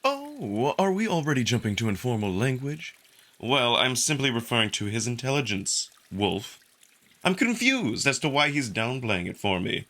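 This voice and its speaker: A man's voice: medium pitch, sing-song, moderate pace, sharp tone. A man voicing a suave, smugly curious male jackal alien in a visual novel, with polite, needling, unruffled delivery. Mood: smugly amused. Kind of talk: visual novel character acting.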